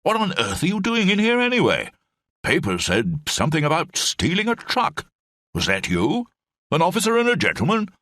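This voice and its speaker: A man's voice: on the high side, wildly swooping pitch, moderate pace, fairly bright tone, dry recording, studio quality, pitched above the performer's own voice. Man doing a blustering old brigadier. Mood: jovial. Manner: clipped, blustering, upper-class officer speech. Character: bumbling elderly male British brigadier, jovial, rambling.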